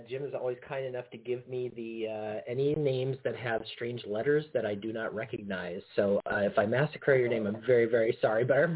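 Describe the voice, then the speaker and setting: An easygoing male podcast co-host who chuckles often and fills his pauses with filler words. His voice is slightly raspy at a middling pitch. The sound is thin and tinny, like a phone line. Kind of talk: casual chat.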